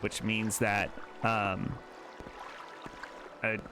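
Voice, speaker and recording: A man's voice: on the low side, flat delivery, drawn-out words, noisy background. A man hosting a let's play, chatting casually and self-deprecatingly as he plays. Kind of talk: casual gameplay commentary.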